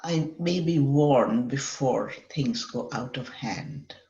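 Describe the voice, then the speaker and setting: Female meditation guide, measured and unhurried with long mid-sentence pauses, slightly dull lo-fi sound in a roomy space. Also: extremely low pitch, dark tone. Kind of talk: guided meditation, semi-improvised. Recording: dull lo-fi, echoey room.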